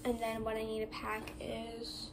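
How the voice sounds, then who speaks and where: Young girl vlogger, high voice, rambling chat full of fillers, phone camera in a bedroom with noisy background hiss. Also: completely flat delivery, unhurried. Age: 11, child. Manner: rambling, chatty, many "like" fillers. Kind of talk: casual vlog chat. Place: bedroom, indoors. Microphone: phone camera on desk. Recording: noisy room ambience.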